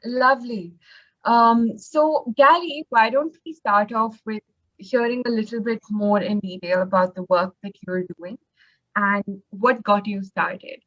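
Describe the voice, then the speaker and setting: A woman hosting a podcast, speaking politely and in a measured way. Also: a little high, steady tone, a bit bright, dull audio. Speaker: podcast host.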